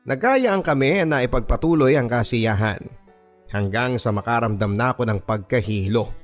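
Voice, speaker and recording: A man's voice: medium pitch, expressive, moderate pace. A man with a Filipino accent reading a first-person story as dramatic scripted narration for a radio drama. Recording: music bed under the voice. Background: background music.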